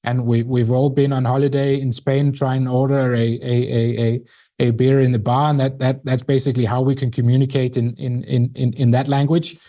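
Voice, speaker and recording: A middle-aged man from Denmark talks through an interview in English with a Danish accent. His voice is mellow and warm, and he stumbles forward with many repeated words and filler sounds as he builds each thought. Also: medium pitch, flat delivery, moderate pace. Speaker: company CEO, guest. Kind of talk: interview conversation.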